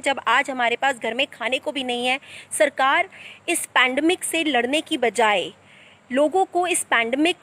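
A woman's voice: sits high, a bit bright. A young woman, a social activist, speaking to camera with indignant rhetorical questions, filmed outdoors at night on a phone held at arm's length. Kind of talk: political commentary to camera. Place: outdoors at night among trees.

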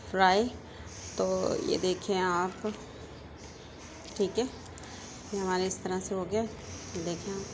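A woman with a Pakistani Urdu accent hosting a casual home cooking tutorial, talking chattily and tagging each step with a quick check-in. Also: mid-range voice, slight background noise. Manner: chatty, repeats "theek hai" after each step. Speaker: home cooking channel host.

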